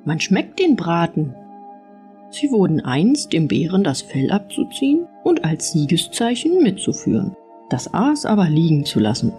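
Female audiobook narrator for fairy tales, animated delivery, warm tone. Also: fairly low pitch, normal speed, faint music behind. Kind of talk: expressive fairy-tale storytelling.

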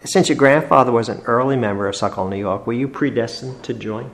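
A man's voice: mid-range voice, brisk, a little hiss or hum. A man interviewing an older woman, asking questions with friendly, encouraging prompts. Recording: roomy echo, faint music.

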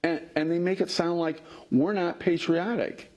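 A middle-aged man, a factory worker, answering interview questions in an earnest way that rises in pitch when he gets indignant, recorded on a lapel mic in a studio. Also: steady tone, moderate pace, darkish tone, slightly rough. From Illinois. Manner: earnest, grows heated and higher when indignant. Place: television studio.

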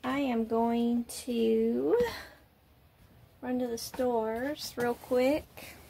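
Lady rambling into her phone, stuffy nose, gravelly, bit of hiss behind. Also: on the high side, steady tone, extremely slow, dark tone. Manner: chatty, rambling, many fillers. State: congested, nasal; tired. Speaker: vlogger. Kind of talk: casual chat to camera. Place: home kitchen and parked car. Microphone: phone at arm's length.

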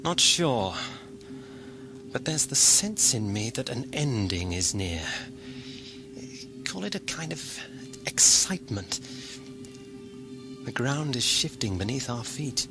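A man acts out a wandering alien doctor in a science fiction radio play. He declaims like a poet, philosophical and defiant with a sardonic edge. Music plays clearly behind his mid-pitched voice. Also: big pitch swings, takes it slowly, pauses often. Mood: grave, poetic. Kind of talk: theatrical audio drama acting.

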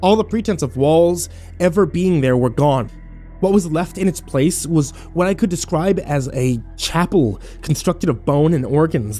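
A man's voice: a little high, dark tone, natural voice. An actor playing a battered, bitter young man who narrates his nightmare in terse, sardonic, profane fragments for a horror audio drama. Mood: tense, rattled.

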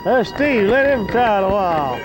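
A man's voice: high voice, expressive, normal speed, music in the background. An American man making casual, jeering remarks outdoors, egging on those around him. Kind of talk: casual remarks while filming. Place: outdoors by a city street. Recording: noisy outdoor camcorder, loud traffic.

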